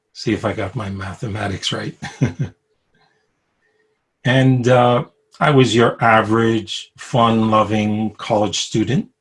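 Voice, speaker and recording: Unhurried husky man with a New York accent. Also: fairly low pitch, steady tone. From Brooklyn, New York. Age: 60s. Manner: unhurried, chuckles often, many pauses and fillers. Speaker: interviewee. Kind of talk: reflective personal storytelling. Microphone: video call.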